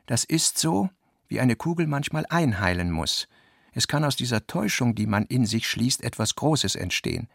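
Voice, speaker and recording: Creaky-voiced man reading literary notes, dry sound. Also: mid-range voice, lively intonation, moderate pace, fairly bright tone, no echo. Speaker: reader in a radio play.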